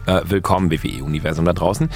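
A man co-hosting a podcast in casual chat, hoarse from a cold, given to playful loud shouted bursts. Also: sits low, fast talker. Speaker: podcast co-host.

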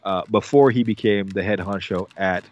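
A man's voice: lowish voice, moderate pace, no echo. Male host, casual rambling recap voice, slightly lo-fi dry sound. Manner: rambling, filler-heavy, animated retelling. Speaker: podcast host recapping a TV episode. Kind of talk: casual chat.

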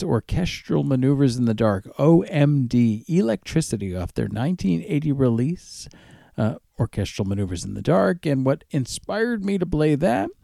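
A man hosting a music radio show, casually presenting tracks in an easygoing, conversational way with a gravelly voice. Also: medium pitch, mellow, no echo, studio quality. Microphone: close studio microphone with headphones.

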